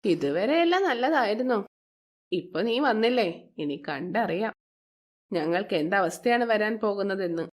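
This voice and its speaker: A woman voicing a bitter, resentful middle-aged stepmother in a moral story, with a sharp, complaining, sarcastic manner. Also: medium pitch, lively intonation, a little slow. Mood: sarcastic, displeased.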